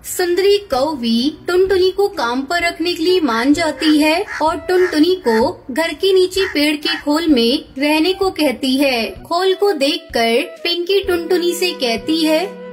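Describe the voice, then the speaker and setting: Story narrator, female, very high pitch, unhurried delivery, music clearly behind. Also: animated.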